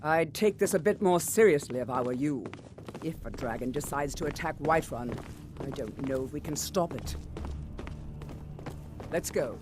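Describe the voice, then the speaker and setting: A woman's voice: sits low, lively intonation, moderate pace, pauses often. A woman playing a stern elven housecarl who gives blunt, urgent commands in a fantasy video game. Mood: stern urgency. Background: game music.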